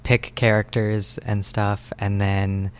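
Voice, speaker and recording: Young male student interviewee, fairly low voice, relaxed informal chat style. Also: slow, clean tone. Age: young adult. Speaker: student interviewee, stage manager and director. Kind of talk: casual interview answers.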